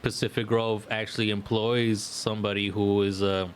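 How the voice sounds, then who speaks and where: Low-voiced guy, slangy podcast talk. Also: level tone, moderate pace, slight background noise. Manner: slangy, profane, repeats "you know what I mean". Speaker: podcast co-host. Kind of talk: casual podcast chat.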